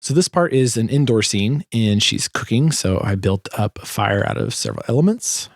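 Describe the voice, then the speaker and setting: A man's voice: lowish voice, expressive, moderate pace, no room sound, studio quality. A man giving a casual, explanatory walkthrough as a sound designer presenting his work.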